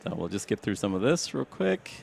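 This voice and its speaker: A man from Sri Lanka, a game developer, walking through a demo of his game at a live event in a halting, filler-heavy way. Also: fairly low pitch, big pitch swings, creaky, a little mellow. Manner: halting, many fillers and restarts. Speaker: game developer, studio founder. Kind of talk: casual demo walkthrough.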